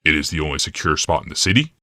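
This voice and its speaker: A man voicing a cold, scheming commander in an audiobook, speaking in a measured, quietly sinister and commanding way. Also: extremely low pitch, lively intonation, dry recording, studio quality, pitched below the performer's own voice, exaggerated voice. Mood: smug, teasing. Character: cold, scheming male commander and healer, smugly menacing. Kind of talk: audiobook character dialogue.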